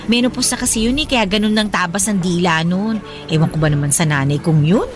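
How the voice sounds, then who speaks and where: A woman playing a caring, supportive young wife in a radio drama, speaking gently and conversationally. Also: lowish voice, brisk, faint music behind, lightly acted. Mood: affectionate, teasing. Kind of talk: Tagalog radio drama dialogue.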